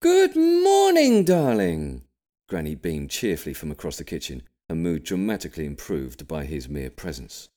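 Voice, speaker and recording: A British man reading an audiobook, delivering scripted comic narration in an expressive storytelling manner. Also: a bass voice, brisk, hardly any pauses. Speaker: audiobook reader.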